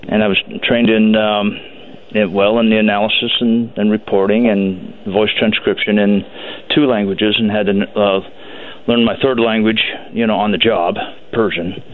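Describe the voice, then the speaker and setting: A man guest answering interview questions in a measured, hesitant way. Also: fairly low pitch, little pitch movement. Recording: phone-line audio, faint noise.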